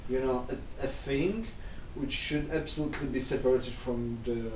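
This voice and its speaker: A man from Moldova who grew up speaking Russian, talking in a halting, restarting way. His voice is dark and mellow. It is recorded from a distance in a roomy, echoing space with a faint hiss behind it. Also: mid-range voice. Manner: halting, many restarts and repeated words. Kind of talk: casual conversation.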